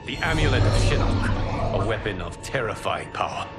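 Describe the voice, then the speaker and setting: Calm wise ex-god monk in a game cutscene, lowish steady voice, big loud score under it. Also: male voice, over loud music. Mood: urgent. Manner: measured, solemn, respectful. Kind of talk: video game cutscene acting.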